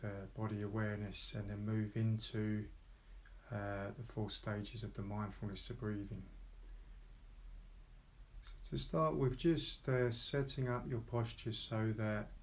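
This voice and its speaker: Male meditation guide. Low voice, very flat pitch, calm and unhurried, leaves space between short phrases. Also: slight background noise. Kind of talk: guided meditation, slow soft instruction.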